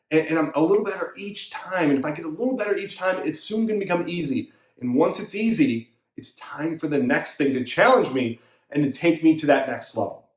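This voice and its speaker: A man's voice: a little high, reverberant. A man in his thirties, a fitness coach and show host, delivering an emphatic, repetitive motivational monologue to his listeners. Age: 30s.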